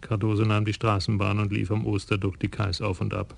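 Flat, gravelly male radio-play narrator. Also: fairly low pitch. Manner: even, measured storytelling.

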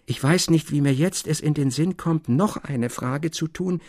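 A man's voice: on the high side, unhurried, darkish tone, no echo, studio quality, voice pushed up. A man reading the part of an earnest, intense young scholar in a literary story, with solemn, formal phrasing. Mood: formal, persuasive.

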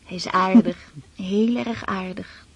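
Breathy, girlish young aristocrat. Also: mid-range voice, steady tone, slow pace, heavily acted. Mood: playful, dreamy. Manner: girlish, whimsical, impulsive. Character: dreamy, vain, exuberant teenage daughter of aristocrats. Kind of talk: radio drama acting.

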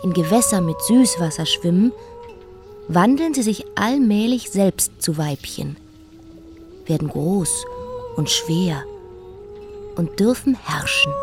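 An actress playing a defiant young queen who was raised as a boy, narrating her life in a radio play monologue. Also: mid-range voice. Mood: hushed, musing.

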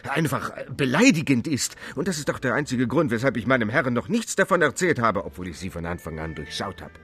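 An actor in a German radio play playing a loyal, indignant manservant, speaking in an emphatic, proud and scoffing way. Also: male voice, medium pitch, big pitch swings, a little fast, fairly bright tone. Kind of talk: German radio drama acting.

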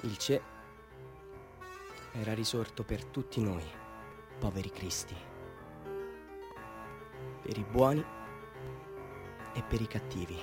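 An actor playing a bitter, half-mad village man telling his tale in a theatrical radio drama monologue, swinging between hushed confiding and fervent declaiming. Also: lowish voice, takes it slowly, pauses often, music in the background. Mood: hushed, reverent.

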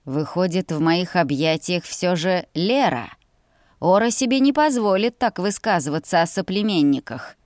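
A woman's voice: lowish voice, expressive, moderate pace, darkish tone, no echo, clean studio sound, close to an everyday voice. A woman voicing a stubborn male dragon general, curt, smug and possessive, in a fantasy audiobook. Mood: amused, possessive.

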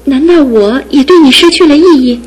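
An actress in a radio drama playing a devoted young fiancée who speaks earnestly and pleadingly, with steady resolve. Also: female voice, high voice, normal speed, lightly acted, rough recording. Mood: grieving. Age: young adult. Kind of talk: broadcast radio drama acting.

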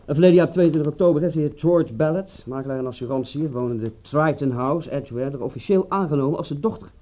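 A man's voice: a little high, quick pace, mellow, natural voice. An actor playing an obliging, money-pressed family man who works as an informant in a radio drama, with a hesitant, apologetic, roundabout manner. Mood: matter-of-fact.

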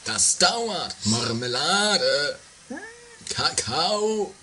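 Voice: medium pitch, very animated, very slow, heavy background noise. A performer playing a hungry, fussy, melodramatic housemate in a comedy radio play, a little hesitant. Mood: hungry, eager. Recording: 1980s homemade radio play, faint music.

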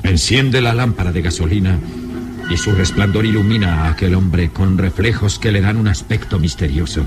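A man's voice: sits low, moderate pace, over music. A man narrating a radio serial in a dramatic, scripted storytelling style.

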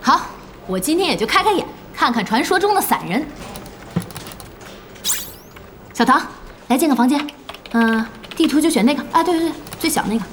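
An actress playing a feisty, bossy young internet cafe boss in an audio drama, scolding and teasing with lots of exclamation. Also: female voice, sits high, expressive, fast, a little hiss or hum. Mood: commanding. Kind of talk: Chinese audio drama acting.

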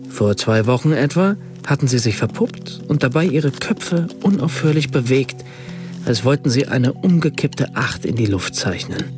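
A man's voice: medium pitch, lively intonation, moderate pace, music in the background, natural voice. An actor playing an observant writer, a man who recounts his memories precisely as radio play narration. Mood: measured recollection. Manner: precise, literary storytelling in reported speech. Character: German writer narrating his Italian summer, observant, measured.

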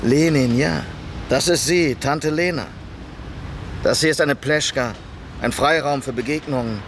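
Gravelly, suspicious streetwise underworld man. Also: mid-range voice, measured pace, a bit bright, a little hiss or hum. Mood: mocking. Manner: brusque, sardonic, impatient. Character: curt, suspicious, streetwise male shady underworld contact. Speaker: actor in a radio play. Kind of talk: radio drama dialogue.

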